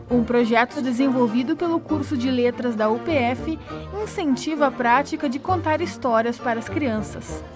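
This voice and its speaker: Brief: high-pitched female narrator, scripted news read, clear music underneath. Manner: smooth, even news-read delivery. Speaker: reporter narrator.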